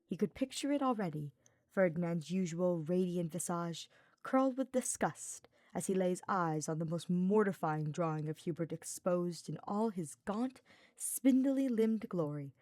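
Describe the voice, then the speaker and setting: A woman reading scripted fiction as an audiobook narrator, in an expressive, deliberate storytelling style. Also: on the low side, no echo, clean studio sound.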